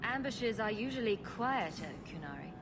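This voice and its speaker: An actress playing a cool, bold adventurer for hire, direct and dry in a video game. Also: female voice, medium pitch, lively intonation, moderate pace, noisy background, close to an everyday voice. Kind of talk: video game dialogue acting. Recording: game audio with music behind.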